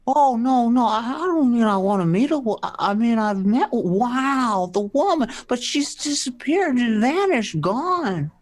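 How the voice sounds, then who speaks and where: A woman's voice: a little high, animated, a little slow, few pauses, darkish tone. A woman voicing a lovelorn, exasperated man in a comic radio play, insistent and dropping wows into sentences.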